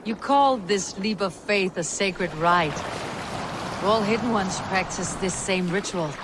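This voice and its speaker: A woman's voice: medium pitch, measured pace, heavy background noise, close to an everyday voice. A woman playing a proud, fearless Viking warrior in a video game, with blunt, wry and stately phrasing. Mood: thoughtful, resolute. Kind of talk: dramatic video game acting.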